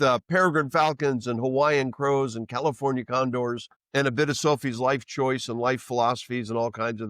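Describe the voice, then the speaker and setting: A male podcast host with bronchitis leads the talk in a chatty, self-deprecating way, full of ums and restarts. His voice sits at an ordinary pitch and is recorded close and dry over a remote call. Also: a bit bright, no room sound. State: has bronchitis. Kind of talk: casual interview hosting.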